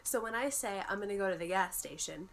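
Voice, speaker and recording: A woman's voice: mid-range voice, lively intonation, fast, a little hiss or hum. A young woman with a congested voice from a cold, chatting and explaining in a casual vlog. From United States. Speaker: vlogger. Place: bedroom at home.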